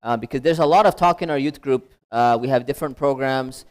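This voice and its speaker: A man's voice: mid-range voice, a little fast. A man moderating a community panel discussion, conversational and probing, sprinkling his speech with Arabic blessings.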